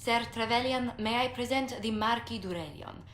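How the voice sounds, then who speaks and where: Poised, higher-pitched lady diplomat, acted by a woman. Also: fairly bright tone, lightly acted. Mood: courteous. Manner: formal, polite, measured diplomacy. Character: poised, courteous female diplomat and ambassador. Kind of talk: video game dialogue acting. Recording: echoey game capture with faint noise.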